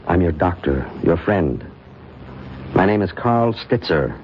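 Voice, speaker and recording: A man's voice: low-pitched, very animated, takes it slowly, full character voice. An actor playing a skeptical, insistent doctor in an old-time radio drama, a man who questions firmly and pointedly. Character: skeptical, probing male doctor, insistent and analytical. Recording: muffled, hissy old radio recording.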